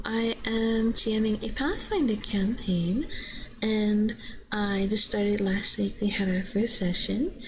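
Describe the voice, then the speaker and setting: Female streamer, casual soft-spoken chat with a creaky edge, close ASMR mic, slight background noise. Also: mid-range voice, poor audio. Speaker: streamer, host of a VTuber live stream. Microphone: binaural ASMR microphone.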